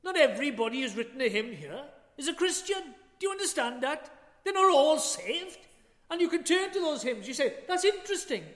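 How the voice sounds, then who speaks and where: A man preaching a chapel sermon, earnest, with rhetorical questions and swells and drops in loudness. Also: very high-pitched, very animated, a little fast. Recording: long hall reverb.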